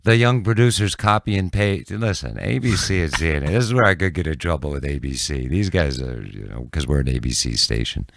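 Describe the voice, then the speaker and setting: An older man, a local news anchor, chatting casually as an interview guest in animated, halting bursts at a close studio mic. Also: extremely low pitch, gravelly, a little hiss or hum. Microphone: close studio podcast mic.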